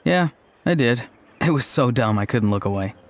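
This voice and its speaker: A man's voice: mid-range voice, animated, normal speed, very noisy, close to an everyday voice. An actor playing an earnest, easygoing young tribesman in a video game, explaining things plainly. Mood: amused, explaining. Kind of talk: video game character acting.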